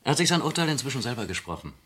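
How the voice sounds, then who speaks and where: An actor playing a composed, dogged investigator in a German crime radio play, calm, probing and dryly polite. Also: male voice, mid-range voice, rapid-fire, slightly rough. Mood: dry, reticent. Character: composed, dogged male investigator, quietly ironic. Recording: vintage radio play with background noise.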